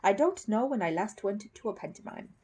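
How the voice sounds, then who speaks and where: A woman voicing a kindly, generous older gentleman in a children's storybook, gentle and tactful. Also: medium pitch, animated, fairly quick, husky, pitched above the performer's own voice.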